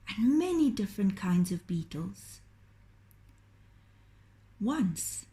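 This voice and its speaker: A woman reading a children's story aloud in a gentle, deliberate, expressive storytelling manner. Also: medium pitch. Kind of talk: children's storytelling, scripted narration.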